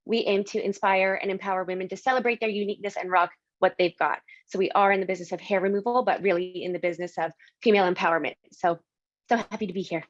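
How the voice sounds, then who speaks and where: A woman's voice: mid-range voice, fairly even intonation, quick pace, no echo, highs cut off. A woman from Canada, founder and CEO, speaking energetically on a panel. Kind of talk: panel discussion.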